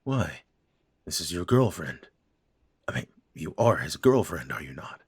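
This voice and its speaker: An actor playing a stiff, uneasy middle-aged father in an audio drama, talking in gruff, clipped lines. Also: low-pitched, big pitch swings, unhurried, no room sound, studio quality, heavily acted. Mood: defensive.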